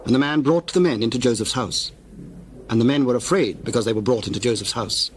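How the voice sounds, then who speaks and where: A man's voice: medium pitch, a little fast, slight background noise. A man narrating scripture from a script, as the narrator of a dramatized reading.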